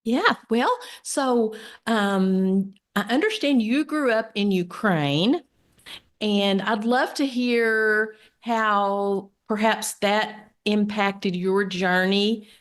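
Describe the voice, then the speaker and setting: A woman's voice: medium pitch, very slow. A woman hosting a podcast, welcoming a guest and asking questions in a casual, earnest way with frequent fillers and restarts. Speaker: podcast host. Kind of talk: casual interview.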